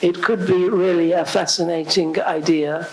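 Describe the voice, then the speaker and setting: A woman in the audience asking a question, her voice going hoarse, pausing often and clearing her throat. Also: on the low side, steady tone, background noise.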